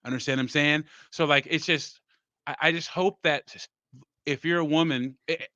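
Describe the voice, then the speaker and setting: A man lecturing on a live group call, quoting figures with halting restarts. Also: fairly high pitch, steady tone, sharp tone, no room sound. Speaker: live stream participant. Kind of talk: casual group discussion. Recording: dull lo-fi mic.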